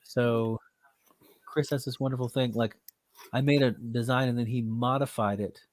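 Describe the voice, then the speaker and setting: A man with a stuffed-up nose leads an online group music class. He talks in a loose, halting way full of fillers. His voice is dry and close on a computer mic. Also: medium pitch, steady tone, no room sound. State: stuffed up with a cold. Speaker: class teacher.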